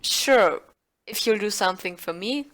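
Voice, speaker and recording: A woman's voice: medium pitch, animated, unhurried, fairly bright tone. A woman playing a blunt, sarcastic rental agency clerk in an audio play, dry and offhand.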